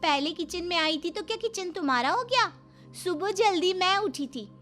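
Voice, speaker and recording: A woman's voice: extremely high pitch, expressive, normal speed, fairly bright tone, soft background music. A woman voicing a jealous, quarrelsome young daughter-in-law in a family story, whining and accusing. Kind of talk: dramatised Hindi family story.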